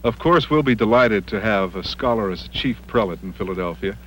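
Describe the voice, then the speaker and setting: An actor playing a genteel, self-assured university professor, speaking formally and with polish in an acted interview. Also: male voice, on the low side, lively intonation, brisk, fairly bright tone, a little hiss or hum, poor audio. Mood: pleased, formal. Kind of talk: acted documentary-style interview.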